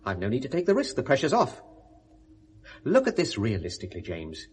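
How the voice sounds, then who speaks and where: A middle-aged Englishman of outward respectability in a vintage radio play. His pitch moves about a great deal as he protests indignantly, then slides into evasive, reasonable-sounding excuses. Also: huge pitch swings, fairly quick, husky, full character voice. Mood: self-justifying. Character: hypocritical, respectable middle-aged English photographer, self-justifying. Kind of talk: 1970s British radio drama acting.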